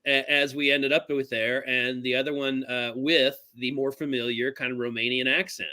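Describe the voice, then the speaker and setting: A man's voice: mid-range voice, little pitch movement, moderate pace, pushed and bright. A man appearing as a guest on a casual interview, explaining his creative choices in a storytelling way. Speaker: guest comic book creator.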